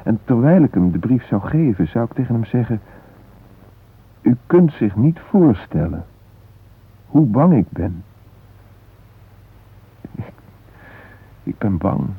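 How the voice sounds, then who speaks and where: An actor playing a frightened hostage father in a radio play, musing in a halting, wry, vulnerable way. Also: medium pitch, big pitch swings, slightly rough, exaggerated voice. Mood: tender, fearful. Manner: reflective, halting, wryly self-mocking. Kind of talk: radio drama interior monologue and dialogue. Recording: muffled phone-like band.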